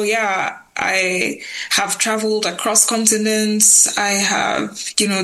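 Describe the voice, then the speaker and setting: A woman's voice: medium pitch, fairly bright tone, poor audio. A young woman who is a slam poet, reciting spoken word with rhythmic emphasis and then talking casually in an interview. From Nigerian family.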